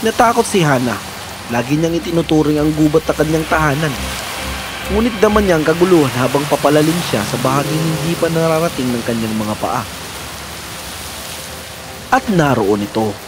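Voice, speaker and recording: A man's voice: a little high, animated, moderate pace, long pauses, noisy background. A man narrating a scripted fairy tale in a dramatic storytelling manner.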